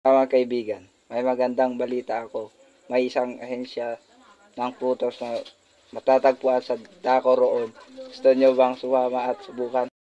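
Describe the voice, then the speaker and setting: A man voicing a friendly monitor lizard in a puppet show, with a flat, recited delivery. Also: mid-range voice, moderate pace, highs cut off, close to an everyday voice.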